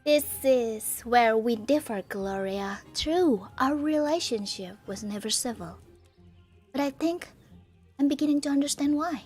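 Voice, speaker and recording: A woman reading the part of a defiant young woman in a visual novel, her voice lowered, cold and cutting. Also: lively intonation, a little slow, darkish tone, husky, soft background music.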